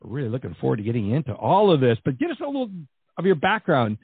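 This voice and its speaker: A man's voice: medium pitch, huge pitch swings, quick pace, husky, no room sound. A man hosting an interview podcast, talking with effusive enthusiasm as he introduces his guest and asks his questions. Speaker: podcast host. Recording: dry, phone-like band.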